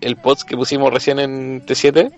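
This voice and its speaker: A man's voice: medium pitch, little pitch movement, moderate pace, muffled recording, soft background music. A man from Chile with a Chilean accent, joking casually and crudely in thick slang. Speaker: comedy show panelist.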